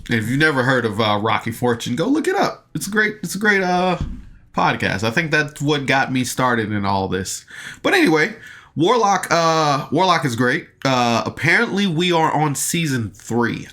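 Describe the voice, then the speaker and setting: Bright-voiced man rambling through a casual review. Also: medium pitch, very animated, normal speed. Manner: rambling, hesitant, often backtracking and rephrasing. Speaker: podcast reviewer.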